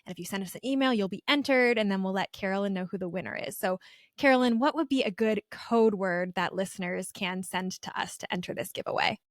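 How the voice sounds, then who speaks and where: A woman speaks as a podcast host, moving between interview questions and listener announcements. Her pitch is average, her delivery is conversational, and the sound is dry. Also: a little fast, no room sound.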